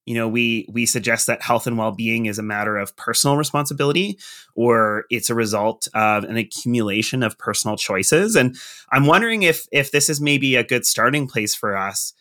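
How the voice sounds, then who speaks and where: Bright-voiced male podcast host. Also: medium pitch, moderate pace. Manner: conversational, asking long framed questions. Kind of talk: interview questions.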